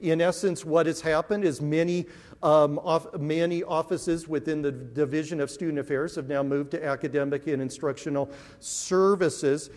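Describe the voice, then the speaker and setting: A man's voice: a little high, fairly even intonation, moderate pace, darkish tone. Upbeat man speaking in a reverberant hall. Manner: earnest, upbeat, frequent fillers and restarts. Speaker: university administrator giving welcoming remarks. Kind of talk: conference welcome speech. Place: large dark auditorium stage.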